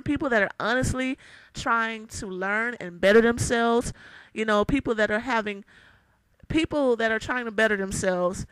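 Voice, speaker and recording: A young woman with a raspy voice, ranting heatedly and emphatically in a vlog, repeating her points. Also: fairly high pitch, no room sound, poor audio. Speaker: vlogger. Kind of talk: ranting to camera.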